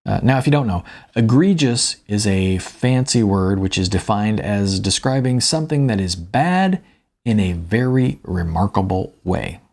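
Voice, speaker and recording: A man's voice: lowish voice, animated, unhurried, a little mellow. A man reading his own novel aloud in a wry, conversational storytelling manner. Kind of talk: audiobook narration with spoken asides.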